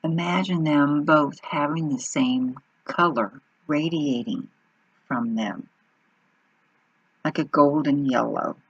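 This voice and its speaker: A woman leading an unscripted guided meditation in an unhurried manner, pausing between short phrases. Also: low-pitched, husky, no room sound, lo-fi sound.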